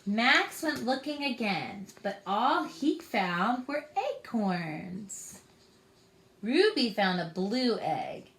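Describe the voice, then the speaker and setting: A woman's voice: medium pitch, big pitch swings, very slow, a bit bright. A woman reading a story aloud expressively, as if to young children. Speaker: storytime reader. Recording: roomy echo.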